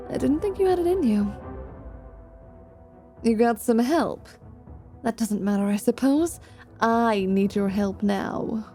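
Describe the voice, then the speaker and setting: A woman plays a spiteful, teasing half-vampire paladin in a fantasy roleplay. Her voice is mellow and slightly high, with very unhurried delivery. She sounds proud and sardonic. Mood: wry, teasing. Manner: sardonic, haughty.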